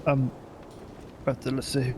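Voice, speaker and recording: A man with a Swedish accent chatting in character at a roleplay game, hesitant and full of pauses. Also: medium pitch, monotone, dark tone, slight background noise. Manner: hesitant, many "uh"s. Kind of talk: casual in-character chat.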